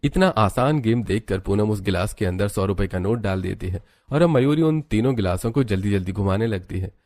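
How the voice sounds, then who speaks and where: A man reads a scripted story as narrator. His voice is fairly low and very mellow, with soft music underneath. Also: animated, brisk, no room sound.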